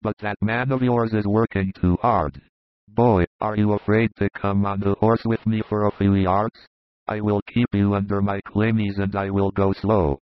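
A man voicing a jovial, confident Roman centurion in an audiobook, in a low, confiding, easygoing voice. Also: flat delivery, normal speed, no echo, lightly acted. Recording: dull lo-fi dry recording.

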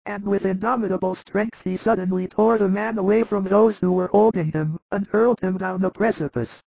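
A man voicing excited, amazed male disciples who tell their story eagerly, with a gravelly edge. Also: sits high, a little fast, dry recording, voice pushed up, full character voice. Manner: eager, wondering, breathless telling. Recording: muffled dry recording.

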